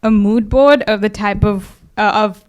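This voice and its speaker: A woman's voice: medium pitch, steady tone, unhurried, darkish tone, clear, resonant tone. A young woman from India giving a casual talk about her photography, hesitant and full of fillers. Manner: hesitant, many fillers, light laughs. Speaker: guest speaker, photographer. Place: event space.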